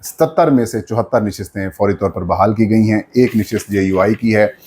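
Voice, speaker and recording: A man with a Pakistani accent and a fairly low voice delivers political news commentary. He speaks without a script, laying out details in an explanatory way. His phrases come in pieces, with frequent pauses as he goes. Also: darkish tone.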